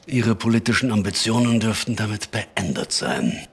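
A man playing a genial older secret service chief in a retro sci-fi audio drama, avuncular and authoritative, with a husky, rough voice. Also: on the low side, very animated, normal speed, full character voice. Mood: grave, pondering.

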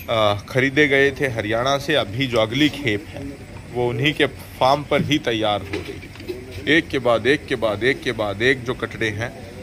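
Formal, measured male farm commentator. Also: mid-range voice, noisy background. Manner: formal, measured, with frequent hesitations. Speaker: dairy farming video host. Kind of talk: voice-over commentary on milking footage.

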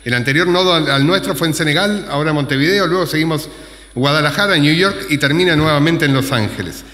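A man's voice: a little high, brisk, sharp tone. A middle-aged man, moderating and welcoming people from a conference podium. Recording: echoey, reverberant hall.

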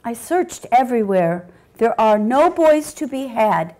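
Storybook mother dog voiced by a woman: gentle, indulgent, concerned, expressive intonation. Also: a little high, a little slow, poor audio.

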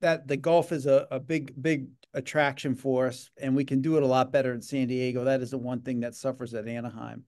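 A man's voice: mid-range voice, flat delivery, fairly quick, few pauses, darkish tone. A middle-aged man speaking in a casual video-call interview from a home office, rambling with many fillers and repeated words. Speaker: gaming association leader. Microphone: video call webcam.

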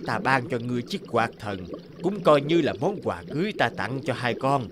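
A man's voice: mid-range voice, very animated, moderate pace, very noisy, natural voice. Man acting a stately old sea king. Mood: gracious, stately. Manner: stately, magnanimous. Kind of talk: cartoon dialogue.

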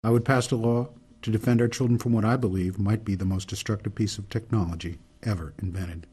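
A man acting in a radio drama as an earnest older reformer, delivering a deliberate, solemn, speechifying monologue. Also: lowish voice, fairly even intonation, darkish tone, husky. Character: earnest older male reformer laying out a grave case.

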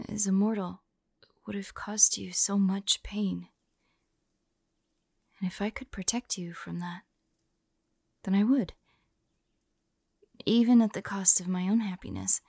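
A woman playing a vulnerable, devoted woman in an intimate audio roleplay, halting and often close to a whisper. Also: mid-range voice, no echo. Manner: soft, breathy, halting, often near whisper.

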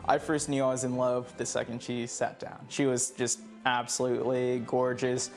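A man's voice: medium pitch, little pitch movement, moderate pace, faint music behind. A man in his twenties recalling personal memories in a recorded interview. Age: 20s.

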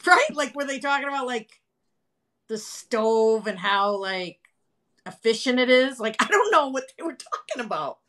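A woman in her fifties hosting a casual live video chat from home, chatty and animated, talking into a phone camera. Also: on the high side, normal speed. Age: 50s. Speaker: host. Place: home room with colorful wall. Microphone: phone camera, video call.

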